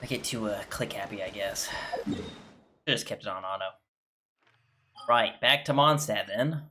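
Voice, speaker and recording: A man's voice: on the low side, measured pace, background noise. A man giving casual, musing gameplay commentary as a streamer. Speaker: gaming streamer. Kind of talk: casual gameplay commentary, reading on-screen lines aloud. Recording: game music behind voice.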